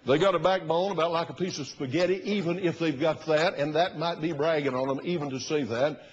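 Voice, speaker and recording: An older man in his sixties with a husky voice, preaching an emphatic, oratorical sermon as a pastor. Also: brisk. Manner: emphatic, oratorical, rising to loud bursts and dropping low. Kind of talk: fiery sermon. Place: large church sanctuary, at the pulpit platform. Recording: muffled recording in a reverberant church hall.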